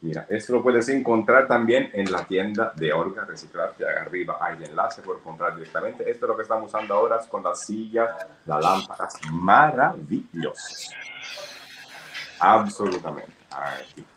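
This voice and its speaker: A man's voice: sits low, expressive, normal speed, fairly bright tone, echoey room, slight background noise, rough recording. A man with an Italian accent hosting a live craft shopping stream, chatting and reading viewer comments aloud. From Italy. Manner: chatty, switches between English and Spanish. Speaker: live shopping show host.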